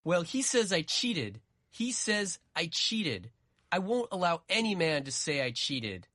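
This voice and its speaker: A woman's voice: lowish voice, big pitch swings, unhurried, a bit bright, no room sound, clean studio sound, exaggerated voice. A woman voicing a proud, stubborn young man, insistent and combative, in a dramatic story reading. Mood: indignant.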